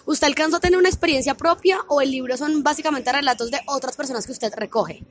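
A woman's voice: sits high, fast talker. A woman hosting a radio interview, asking questions in a friendly, conversational way. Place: radio booth at a book fair stand.

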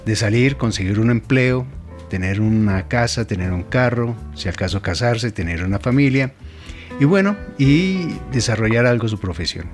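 Low-voiced middle-aged radio host. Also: male voice, animated, soft background music. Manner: reflective, halting with frequent fillers. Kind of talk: radio interview.

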